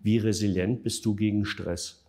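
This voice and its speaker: A man answering interview questions in a measured, factual way, with frequent hesitation fillers. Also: on the low side, steady tone, mellow, breathy edge. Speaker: interview guest, developer of an online tool.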